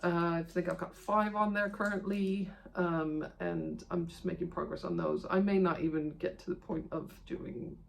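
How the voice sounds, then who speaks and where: Creaky-voiced woman chatting casually. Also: on the low side, fairly even intonation, moderate pace, hardly any pauses, a little hiss or hum. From Iceland. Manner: rambling, self-deprecating, chatty. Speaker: video host of a cross-stitch channel. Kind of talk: casual vlog chat to camera.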